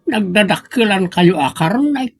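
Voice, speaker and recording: A man acting a stubborn, bossy old family father in a comedic audio drama, grumbling in an Ilocano accent with a husky voice. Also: high voice, animated, moderate pace, very noisy. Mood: grumpy.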